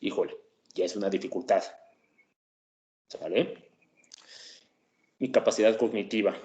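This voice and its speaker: A man gives an explanatory lecture on education, his voice sitting on the low side. He keeps it conversational, dropping in filler sounds and little tag questions to check that listeners follow. The room around him is echoey and reverberant. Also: measured pace, a little mellow, dull audio. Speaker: teacher presenting a class topic.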